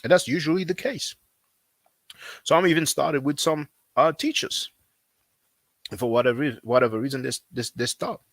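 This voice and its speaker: A man's voice: a little high, normal speed, pauses often, no room sound. Dry-sounding man, non-native English speaker. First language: not English. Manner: repetitive, conversational, frequent fillers. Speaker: language teacher. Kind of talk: casual promotional talk.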